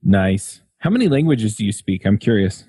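A man's voice: lowish voice, big pitch swings, moderate pace, mellow, slightly rough, no room sound. Male podcast host with a colloquial manner. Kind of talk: casual podcast interviewing and scripted sponsor read.

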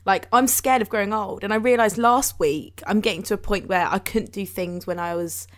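A woman's voice: medium pitch, fairly even intonation, moderate pace. A woman in her twenties, co-hosting a podcast and talking casually about feelings and growing up, with lots of fillers and laughs. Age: 20s. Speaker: podcast co-host. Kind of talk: casual chat.

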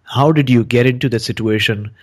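A man's voice: mid-range voice, a little fast. A man hosting a podcast, giving an earnest motivational talk and asking questions. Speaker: podcast host.

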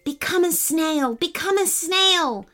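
A woman voicing an eager young male character who talks in excited exclamations. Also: extremely high pitch, takes it slowly, voice pushed up, heavily acted.

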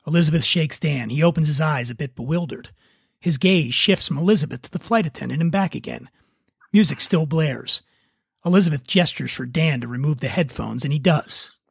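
A man's voice: a little high, a little fast, vocal fry, breathy edge, dry recording, clean studio sound. A man hosting a podcast, talking casually and narrating a script. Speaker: podcast host and writer.

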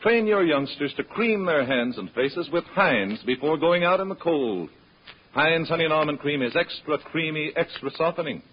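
A man's voice: on the high side, expressive, normal speed, a little hiss or hum. An American man announcing scripted advertising in the style of vintage radio. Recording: dull vintage radio recording.

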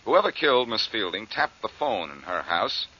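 An actor playing a glib, confident, sardonic amateur detective in 1940s radio drama style. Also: male voice, on the low side, very animated, normal speed, sharp tone, slightly rough, full character voice. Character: wisecracking confident amateur detective, adult man. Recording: dull old radio recording.

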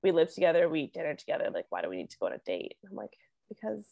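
A woman's voice: low-pitched, very animated, racing, creaky, warm tone, dry recording, lo-fi sound. A young woman from Canada with a Canadian accent, talking casually as an interview guest, saying "like" constantly and laughing often. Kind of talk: casual chat.

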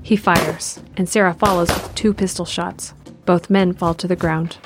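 A woman narrating a scripted audio drama in a measured, descriptive way. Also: fairly low pitch, steady tone, music in the background.

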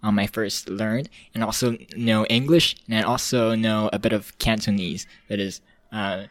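A man from China whose native language is Chinese, a podcast guest talking in English with hesitant pauses and restarts. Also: on the low side, fairly even intonation, no echo. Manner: hesitant, many fillers and restarts. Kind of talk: casual panel talk.

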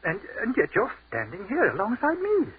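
An actor playing an earnest army captain who has died, speaking urgently in 1940s radio-drama style. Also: male voice, high voice, wildly swooping pitch, normal speed, husky, exaggerated voice. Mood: wondering. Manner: urgent and stammering, then rapturous. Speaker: lead actor. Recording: tinny old radio broadcast with hiss.

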